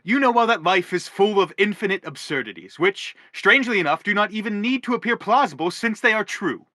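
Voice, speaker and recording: An actor playing an earnest, eloquent stranger in a radio play, speaking formally and insistently. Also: male voice, high voice, animated, a little fast, pushed and bright, dry recording, studio quality. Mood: earnest, philosophical. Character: earnest, eloquent male stranger seeking an author. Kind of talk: theatrical radio play acting.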